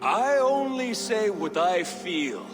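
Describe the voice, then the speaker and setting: An actor playing a resentful, defiant Viking warrior in a video game, accusing and scornful. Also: male voice, sits high, big pitch swings, slow pace, music in the background, full character voice. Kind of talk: video game cutscene acting.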